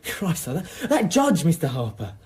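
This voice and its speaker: An actor playing a cocky, jittery criminal informant with a husky voice, in radio drama style. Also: male voice, a little high, wildly swooping pitch, a little slow, a little mellow, heavily acted. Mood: nervy, bitter. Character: cocky, jittery male police informant and small-time criminal. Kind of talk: naturalistic radio drama acting.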